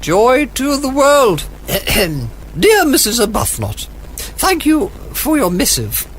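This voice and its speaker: An actress playing a haughty, sardonic British agony aunt in a 1940s radio comedy, with pompous, withering formality. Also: female voice, on the high side, huge pitch swings, takes it slowly, a little hiss or hum, heavily acted. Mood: pompous, scolding.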